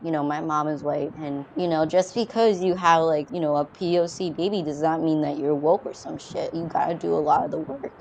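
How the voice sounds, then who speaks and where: A man's voice: a little high, fairly even intonation, fairly quick, creaky, few pauses, a little mellow, slight background noise, low-quality recording. A young man from China, adopted young, speaking reflectively in a documentary interview, often saying "you know".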